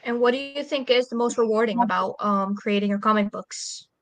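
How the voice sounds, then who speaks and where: A woman's voice: a little high, brisk, no echo. A woman hosting an interview, asking simple questions in a casual way with brief encouraging replies. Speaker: interviewer, host.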